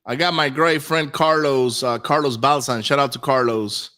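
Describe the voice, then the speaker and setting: A man's voice: mid-range voice, steady tone, moderate pace, pushed and bright. A man from Venezuela whose first language is Spanish, chatting informally and telling personal stories as an interview guest. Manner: informal, says man and brother often. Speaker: interview guest, real estate investor. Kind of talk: casual personal storytelling.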